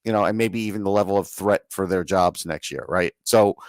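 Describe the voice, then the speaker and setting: A man's voice: deep voice, brisk, no echo, clean studio sound. A man co-hosting a sports podcast, giving casual, opinionated analysis in an emphatic, conversational way. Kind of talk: casual hockey analysis chat.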